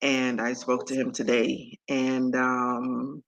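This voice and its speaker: A middle-aged woman from Antigua with a deep voice, talking as a guest in an interview in an animated, emphatic way. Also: slow, low-quality recording. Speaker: guest speaker and coach. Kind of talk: conversational interview.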